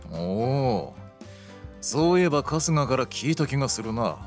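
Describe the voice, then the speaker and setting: A man playing a laid-back, gruff warrior with old-fashioned, lordly speech in a radio drama. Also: mid-range voice, sing-song, unhurried. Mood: recalling. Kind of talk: anime-style radio drama acting. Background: music.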